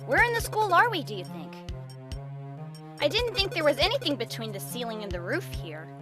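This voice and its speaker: A woman voicing a curious, hesitant young schoolgirl adventurer in a game fan dub, questioning and expressive. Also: brisk, music in the background. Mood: curious, unsure. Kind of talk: scripted fan dub acting. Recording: rumbling noisy home recording.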